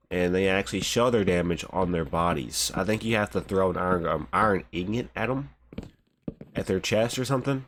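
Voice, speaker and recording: Stuffy-sounding low-voiced young man. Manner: laid-back, rambling, says "bro" often. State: stuffy from a cold. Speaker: gaming channel host. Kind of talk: casual gameplay commentary. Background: background music.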